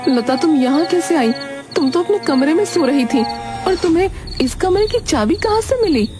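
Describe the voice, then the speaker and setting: A woman's voice: animated, moderate pace, over music. A woman voicing a worried woman of a household in a cartoon story, anxiously questioning. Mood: puzzled concern. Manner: anxious, high-lifted questioning. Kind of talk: Hindi animated story acting.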